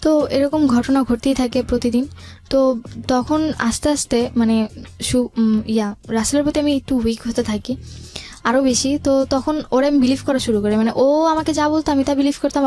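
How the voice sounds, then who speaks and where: A woman's voice: high voice, moderate pace, dark tone. A young woman with a Bangladeshi accent tells a personal story conversationally as a guest, colloquially and with some English words mixed in. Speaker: guest telling life story. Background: background music.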